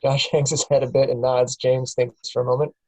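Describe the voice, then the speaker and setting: A man's voice: medium pitch, level tone, brisk, a little mellow, poor audio. A man narrating stage directions matter-of-factly at a film script table read.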